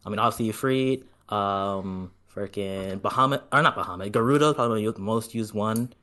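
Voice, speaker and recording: A man's voice: fairly low pitch, bright, forceful tone. A man co-hosting a gaming podcast, talking with enthusiasm and filling his sentences with "like" and "freaking". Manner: quick, enthusiastic, lots of "like" and "freaking". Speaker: podcast co-host. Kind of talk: casual gaming chat.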